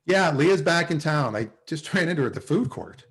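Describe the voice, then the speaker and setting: A man's voice: a little high, lively intonation, fairly quick. A man playing a nervous, lovesick, dryly sarcastic office worker in a sitcom table read, with a hesitant, stammering delivery. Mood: casual. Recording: video call table read.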